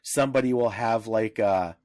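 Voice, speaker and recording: A man's voice: fairly low pitch, moderate pace, a little mellow, no echo, clean studio sound. A man co-hosting a podcast in casual discussion, talking in a blunt, irreverent way. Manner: blunt, irreverent, freely profane. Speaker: podcast co-host.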